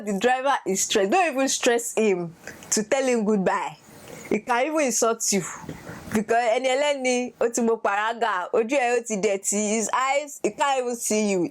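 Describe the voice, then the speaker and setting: Nigerian woman vlogger, mid-pitched, chatty and animated storyteller. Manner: animated, laughing, switches into Yoruba phrases. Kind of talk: casual storytelling vlog.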